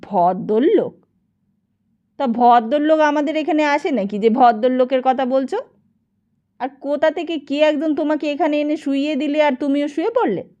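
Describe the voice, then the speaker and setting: A woman storyteller plays a brash older madam from a novel, high and loud. She scolds and haggles in blunt colloquial speech, full of suspicious questions. The sound is slightly dull. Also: moderate pace, close to an everyday voice. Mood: scolding, suspicious.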